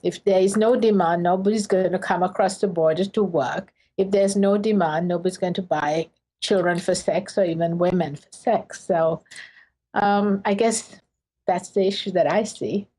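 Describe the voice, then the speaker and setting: A woman's voice: lowish voice, fairly even intonation, moderate pace, dark tone, rough recording. A woman from Sri Lanka, a professor, answering interview questions earnestly in short phrases with fillers.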